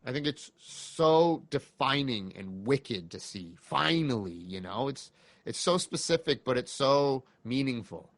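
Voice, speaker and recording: Male podcast guest, thirties, mid-pitched, reflective yet enthusiastic, conversational delivery, very dry close sound. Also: lively intonation, a little slow, no room sound. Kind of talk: casual chat.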